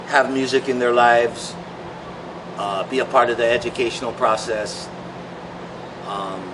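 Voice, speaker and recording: Soft-spoken man, far from the mic. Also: medium pitch. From Hawaii. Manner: thoughtful, halting, many fillers. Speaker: songwriter and musician. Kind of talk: reflective interview talk. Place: large open-sided shed outdoors. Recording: distant mic, clear background noise.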